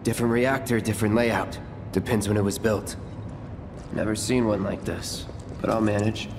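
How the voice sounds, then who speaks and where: An actor playing a cool, aloof young mercenary in a video game, deadpan and terse. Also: male voice, fairly low pitch, moderate pace, background noise, lightly acted. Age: young adult. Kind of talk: video game cutscene acting. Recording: reverberant hall, game music behind.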